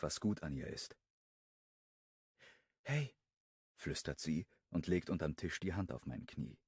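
Man narrating a romance audiobook, really deep voice, dead dry close sound, calm and inward. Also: husky, dry recording. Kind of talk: first-person audiobook narration.

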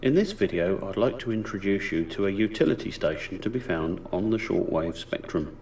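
A man from the UK, hosting his own radio hobby video, narrating in a calm, measured, explanatory voice-over. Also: low-pitched, far from the mic, slight background noise.

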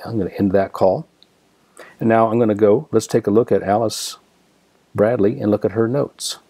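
An American man narrating a step-by-step software demonstration in a methodical, explanatory way. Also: fairly low pitch, steady tone, normal speed, slightly rough, no echo. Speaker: software tutorial presenter.

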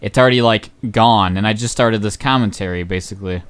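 Young male game commentator, smooth voice, hoarse, rambling and excitable, many ums. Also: on the low side, brisk. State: voice going hoarse. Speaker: gaming channel host. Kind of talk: casual gameplay commentary.

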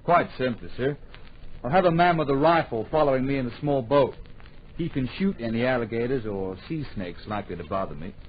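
Resolute adventurer, muffled old radio. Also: male voice, mid-range voice, lively intonation, fairly quick, husky. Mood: cool confidence. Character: determined, daring American adventurer-author, polite but stubborn. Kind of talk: old radio drama acting. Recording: dull old radio recording, faint noise.

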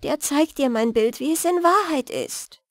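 A woman puts on a sorrowful enchanted princess from a fairy tale, gentle and plaintive. The voice is lifted high and soft, in a dry recording. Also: animated, unhurried, no room sound. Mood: sad, pleading.

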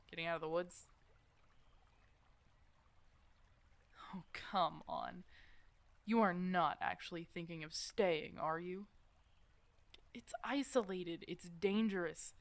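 A woman's voice: fairly low pitch, dry recording. A woman playing a protective, teasing werewolf mentor in an ASMR roleplay, casual and bossy but affectionate. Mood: stern, protective. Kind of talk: ASMR roleplay audio drama addressed to the listener.

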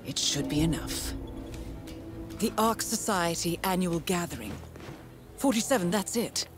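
A woman playing a composed intelligence handler in a video game, giving measured, formal and precise mission briefings. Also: lowish voice, animated. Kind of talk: scripted mission briefing narration. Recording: loud cinematic music behind. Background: loud music score.

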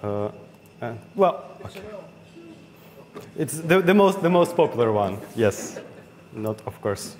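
A man's voice: mid-range voice, very animated, slow, pauses often, darkish tone. A young man with a Russian accent giving a technical meetup talk, hesitant and full of fillers and restarts. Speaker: conference speaker. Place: meetup room with audience. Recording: hall reverb, faint ambient noise.

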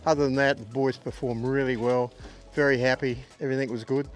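A man, a yacht skipper giving a brisk, positive race summary. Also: medium pitch, flat delivery. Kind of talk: post-race interview.

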